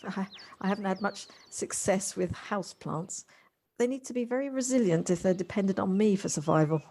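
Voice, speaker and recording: A woman's voice: mid-range voice, fairly quick, darkish tone, close to an everyday voice. An actress playing a busy city career woman in an audio drama, polite, chatty and slightly flustered. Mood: self-deprecating, earnest. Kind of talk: amateur audio drama acting.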